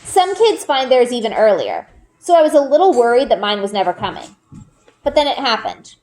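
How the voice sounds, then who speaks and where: A woman reading a children's book aloud like a teacher, with expressive storytelling emphasis and dramatic pauses. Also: a little high, slight background noise, poor audio.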